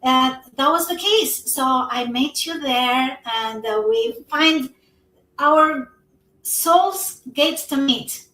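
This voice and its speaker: A woman's voice: high voice, expressive, slow pace, pushed and bright. A woman, an English speaker who talks earnestly with many fillers as an interview guest. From Albania. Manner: earnest, non-native English with frequent fillers. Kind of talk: casual conversation. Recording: echoey room, somewhat far from the mic.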